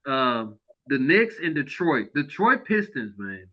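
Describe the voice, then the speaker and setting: Man, podcast host, lively sports chat, frequent laughs, muffled lo-fi audio. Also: slow. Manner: lively, laughs often, drops to a low mutter. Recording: dull lo-fi sound.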